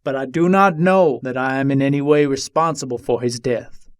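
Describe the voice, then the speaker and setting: A man's voice: medium pitch, normal speed, no echo, clean studio sound, close to an everyday voice. A man playing an embattled Old West gunslinger who pleads his case from jail in formal, old-fashioned storytelling, in a dramatic reading. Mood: accusing, resentful.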